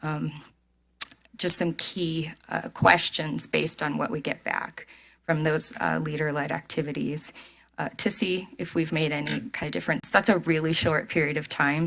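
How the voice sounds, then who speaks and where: A woman presenting a staff report at a board meeting in a steady, businesslike way. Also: sits low, gravelly, poor audio.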